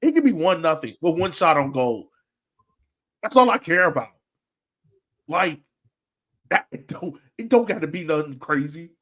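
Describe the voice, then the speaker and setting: Animated, argumentative soccer podcast panelist. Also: mid-range voice, normal speed, pauses often. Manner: argumentative, repeats points emphatically, slangy. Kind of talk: animated casual sports debate.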